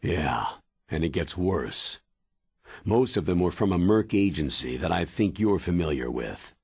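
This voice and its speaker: Deep, husky military commander. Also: male voice, animated, measured pace, a little mellow, no echo, clean studio sound, heavily acted. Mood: serious briefing. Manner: grave, authoritative, explanatory. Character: stern male military commander giving a briefing. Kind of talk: dramatic video game cutscene acting.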